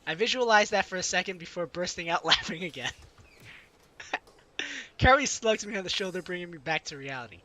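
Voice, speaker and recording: Lively, bright-voiced man reading a game aloud. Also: high voice, normal speed, long pauses. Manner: breaks into giggles and asides while reading. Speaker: let's play host. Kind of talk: reading a visual novel aloud with casual commentary. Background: game music.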